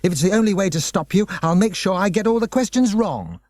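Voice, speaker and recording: A man voicing a bold, clever schoolgirl who talks fast and fierce in a raised voice. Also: high-pitched, animated, darkish tone, no echo, pitched above the performer's own voice. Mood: defiant.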